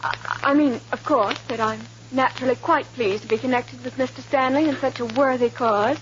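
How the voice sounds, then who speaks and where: An actress playing a prim, eager young student activist in an old radio drama, speaking precisely and earnestly. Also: female voice, high-pitched, fairly even intonation, moderate pace, lightly acted. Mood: eager, flattered. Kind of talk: 1950s radio drama acting. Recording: muffled, noisy old radio recording.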